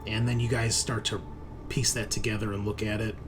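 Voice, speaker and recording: A man working as game master, improvising narration for a tabletop game in halting, piecemeal descriptions. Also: on the low side, level tone. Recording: clear background noise with ambience.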